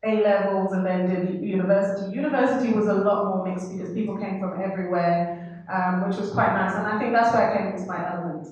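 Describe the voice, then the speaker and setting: A woman's voice: medium pitch, steady tone, quick pace, darkish tone, highs cut off. A woman from Trinidad with a Trinidadian accent, speaking as a panellist in a reflective, conversational way with fillers. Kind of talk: panel discussion. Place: university hall. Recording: echoey hall, speaker far from mic.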